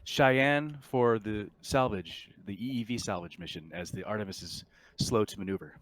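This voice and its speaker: A man voicing a formal ship's computer in a sci-fi tabletop game, speaking in a slow, measured way. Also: fairly low pitch, slight background noise, natural voice. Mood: calm, advisory.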